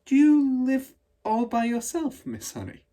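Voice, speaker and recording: A man's voice: extremely high pitch, huge pitch swings, measured pace, dark tone, voice pushed up, exaggerated voice. A man voicing a small, curious schoolgirl with an earnest, inquisitive manner in a storybook reading. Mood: curious, wondering.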